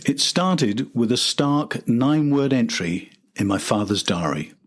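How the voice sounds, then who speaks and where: Male podcast narrator, older, mid-pitched and a touch husky, delivering scripted documentary storytelling. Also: lively intonation, measured pace.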